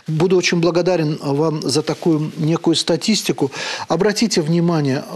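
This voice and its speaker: A man hosting a program, giving an earnest lecture on words. He often hesitates with "uh" and slows down to read quotations solemnly. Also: on the high side. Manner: earnest and deliberate, with frequent hesitant "uh" fillers. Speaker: host of a program on language. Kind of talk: lecture-style talk on words, reading quotations aloud.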